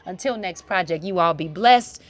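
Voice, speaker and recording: A woman's voice: on the low side, moderate pace, no echo. A middle-aged American woman giving a casual craft tutorial voice-over in a chatty, playful, informal way. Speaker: craft vlogger.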